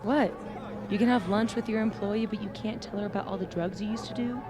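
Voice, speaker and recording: Young woman, brash teasing daughter actress in a game role. Medium pitch, naturalistic, clear crowd noise around. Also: steady tone, brisk, natural voice. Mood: teasing, exasperated. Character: cheeky, outspoken grown daughter needling her mother. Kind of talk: naturalistic video game acting.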